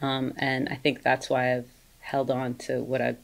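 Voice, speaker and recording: Interview guest: woman in her 30s from the UAE, very deep voice, easygoing storyteller who laughs a lot. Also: monotone, normal speed, creaky, rough recording. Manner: chatty, laughs often, warm storytelling with acted quotes. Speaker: yoga instructor and business owner.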